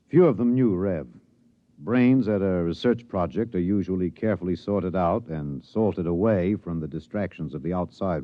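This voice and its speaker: An actor playing an earnest, somber news reporter, narrating solemnly in a 1950s radio drama. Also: male voice, sits low, no room sound. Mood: grave. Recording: dull 1950s radio recording.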